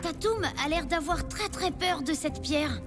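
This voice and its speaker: A woman's voice: very high voice, animated, measured pace. A woman voicing a plucky, caring young child in a cartoon, eager and childlike in delivery. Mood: curious. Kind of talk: French animated cartoon acting. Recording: music behind the dialogue.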